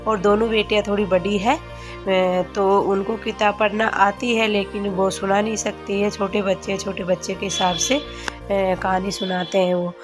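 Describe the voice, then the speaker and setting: A hoarse woman in her thirties from Rajasthan narrates her home vlog in a loose, chatty way, stopping often on filler sounds. Her pitch sits in the middle, and soft music runs quietly under her voice. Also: little pitch movement, normal speed. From Rajasthan, India. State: hoarse from a cold. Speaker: family vlogger.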